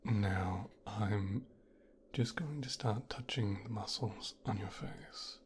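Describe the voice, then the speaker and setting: A man playing a mafia boss's son in an ASMR roleplay, softly and slowly examining his captive with gentle, clinical condescension in a breathy, whispery voice. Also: lowish voice, slight background noise. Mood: possessive, gentle.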